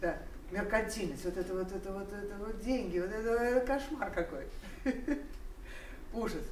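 A woman's voice: mid-range voice, normal speed, a little mellow. An older woman telling a personal family story at a seminar, rambling with many hesitations and restarts. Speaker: seminar participant sharing memories. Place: seminar room, standing among seated audience. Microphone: far camera. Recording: echoey room, distant mic, noise.

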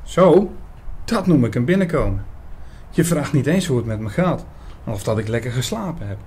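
An actor playing an earnest, gentle young priest who also narrates his story in an audio drama. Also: medium pitch, very animated, normal speed, husky. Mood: light, puzzled.

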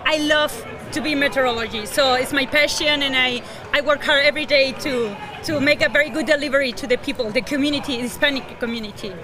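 A young woman from Chile with a Chilean Spanish accent, a weather anchor speaking excited, halting English with restarts in a backstage interview. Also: high voice. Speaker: weather anchor, award winner. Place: backstage press area at an awards show. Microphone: handheld mic. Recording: busy crowd noise behind.

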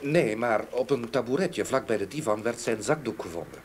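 An actor playing a sober, earnest gentleman witness in a radio play, speaking in a measured, factual way. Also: mid-range voice, animated, close to an everyday voice. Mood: grave, sombre. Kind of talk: Dutch radio play acting. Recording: slightly noisy old radio drama recording.

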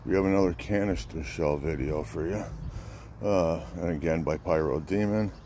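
A man's voice: low-pitched, normal speed, warm tone. A man hosting a casual product showcase vlog, talking in an informal, laid-back, hesitant way with plenty of fillers. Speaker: fireworks channel host. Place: outdoors in a windy spot by a pickup truck bed. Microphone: handheld camera setup with an added mic. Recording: windy outdoor noise. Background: wind.

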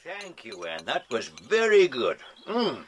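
An actor playing an amiable, absent-minded naturalist in a children's audio drama, polite and a little distracted. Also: male voice, medium pitch, huge pitch swings, takes it slowly, a bit bright, exaggerated voice. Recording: noisy old cassette tape.

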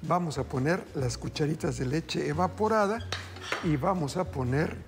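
A man's voice: fairly high pitch, moderate pace, background noise. A middle-aged man hosting a TV cooking show, talking viewers through a recipe in upbeat, chatty patter and calling them family. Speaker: TV chef host. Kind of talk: live cooking demonstration. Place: TV studio kitchen. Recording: background music under the voice.

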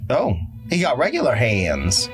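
Lively, joking let's-play co-host. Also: medium pitch. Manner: joking, quick to laugh. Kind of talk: casual gaming chat. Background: loud game music.

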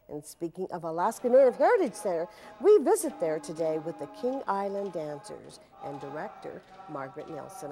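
Middle-aged woman anchor, lively pitch, lowish voice, studio read. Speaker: host. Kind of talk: scripted news presenting. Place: television studio.

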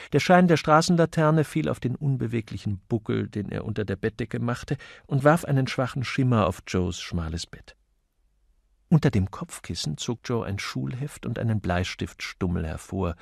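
A man reading scripted literary narration as an audiobook narrator, in an expressive, measured storytelling manner. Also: lowish voice, creaky, no room sound, studio quality.

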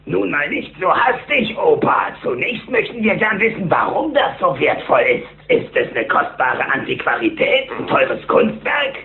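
Creaky, cocky cartoon gangster. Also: male voice, sits high, big pitch swings, a little slow, hardly any pauses, full character voice. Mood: sly, mocking. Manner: mocking, taunting. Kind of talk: cartoon audio drama acting. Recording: muffled, roomy, noisy old cassette.

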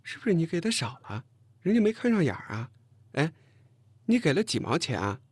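一位男演播者在有声书里演一个爱捉弄人、又爱发号施令的年轻女同事，时而撒娇，时而数落。. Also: male voice, on the high side, big pitch swings, slightly rough, no echo, voice pushed up. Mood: surprised, curious. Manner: mocking, quick to pout and scold. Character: brash teasing young woman colleague, playful and bossy. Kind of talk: audiobook character dialogue.

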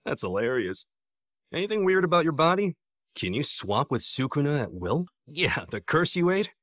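A man's voice: on the high side, sing-song, moderate pace, fairly bright tone, no room sound, studio quality. An American man playing a cocky, playful young sorcerer teacher in an English anime dub, with a breezy manner.

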